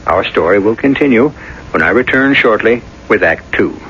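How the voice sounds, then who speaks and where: A man playing a grave, moralizing mystery host, narrating in measured, deliberate old-time radio style on a muffled 1970s broadcast with music behind. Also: lowish voice, lively intonation, very noisy. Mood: grave, ominous.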